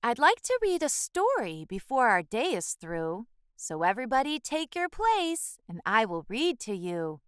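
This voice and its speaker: A woman's voice: a little high, sing-song, a little slow, clear, resonant tone, dry recording, studio quality. A woman voicing a friendly, patient female schoolteacher in a storybook, coaxing and encouraging young children. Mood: inviting. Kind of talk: storybook character voice.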